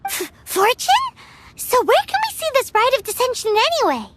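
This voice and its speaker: A woman voicing a tiny, excitable childlike fairy companion in a video game, squeaky, chirpy and blunt. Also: normal speed, background noise, full character voice. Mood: curious, amazed. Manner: chirpy, blunt, refers to herself in third person. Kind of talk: video game character acting. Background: game music.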